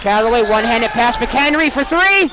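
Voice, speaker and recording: A man's voice: extremely high pitch, expressive, normal speed, a crowd in the background. A young man working as a sportscaster, giving emphatic, projected sports broadcast commentary. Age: young adult. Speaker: sportscaster, play-by-play announcer.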